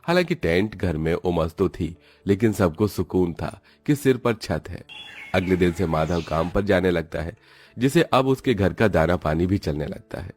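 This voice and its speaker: A man's voice: sits low, animated, moderate pace, darkish tone. A man narrating a scripted, dramatic cartoon story.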